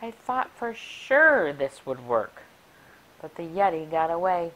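A middle-aged woman reading a rhyming picture book aloud for children in an expressive, deliberate, sing-song way. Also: deep voice, poor audio. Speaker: library story time reader. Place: small furnished room indoors. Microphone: clip-on lapel mic.

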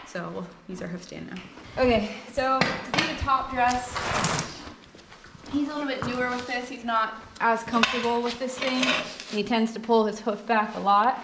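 Young woman talking over noisy rasping. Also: fairly high pitch. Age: young adult. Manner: thinking aloud in short, halting phrases while working. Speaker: horse owner giving a hoof trimming tutorial. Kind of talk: casual hands-on demonstration talk. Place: indoor barn with concrete floor. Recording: clear rasping and clattering noise. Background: filing, scraping and clatter of tools.

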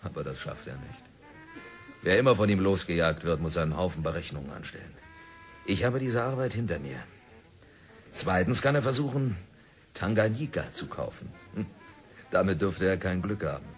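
A man's voice: low voice, animated, moderate pace, long pauses, soft background music. An actor playing a stubborn, obsessed male diamond prospector in an old radio play, self-assured and sardonic. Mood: defiant. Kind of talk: 1960s radio drama acting.

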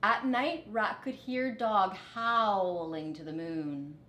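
A middle-aged woman reading a children's picture book aloud deliberately and expressively in a living room. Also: medium pitch, fairly bright tone, roomy sound. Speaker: storyteller, teacher. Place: living room, indoors on a couch.

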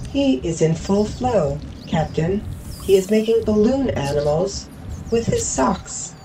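A woman's voice: medium pitch, animated, takes it slowly, dark tone, recorded from a distance, a little hiss or hum, poor audio. A woman playing a serene, literal-minded artificial crewmate in a sci-fi audio drama, speaking formally and precisely. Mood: calm, matter-of-fact.

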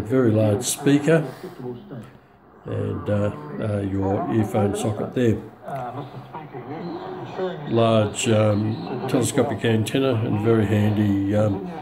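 A man giving a casual, unscripted product demonstration in a halting way with frequent pauses. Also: on the low side, poor audio. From Australia. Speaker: radio enthusiast presenting a receiver. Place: home kitchen, indoors.

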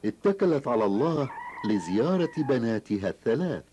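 A man's voice: mid-range voice, big pitch swings, brisk, dark tone, highs cut off, natural voice. A man narrating a children's cartoon tale in formal Arabic as a solemn storyteller. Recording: noisy, hissy old cartoon soundtrack.

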